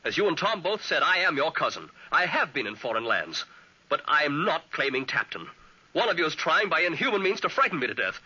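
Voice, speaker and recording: A troubled officer speaks with agitation and indignation. His voice is bright, a little husky, and swings widely in pitch. It is an old radio drama with a dull, muffled sound. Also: big pitch swings, brisk, exaggerated voice. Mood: distraught. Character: troubled young British officer, distraught and suspicious. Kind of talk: 1940s radio acting.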